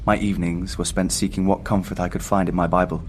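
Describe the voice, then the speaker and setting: An actor playing a haunted seafarer recounting a doomed voyage in solemn, scripted first-person horror narration. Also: male voice, deep voice, level tone, fast, a little hiss or hum, natural voice. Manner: solemn, literary storytelling.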